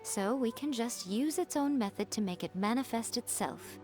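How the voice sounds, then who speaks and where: A woman's voice: fairly high pitch, animated, darkish tone, faint music behind, natural voice. A woman playing a sly, self-assured shrine maiden in a video game, poised and teasing in manner. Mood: teasing, scheming. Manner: teasing, poised, unhurried explaining. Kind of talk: video game character acting.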